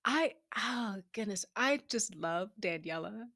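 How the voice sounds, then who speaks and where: A woman's voice: mid-range voice, lively intonation, measured pace, a bit bright, no echo, clean studio sound. A woman chatting casually as a guest on an after-show, thinking aloud as she goes. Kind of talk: casual chat. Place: online group voice call.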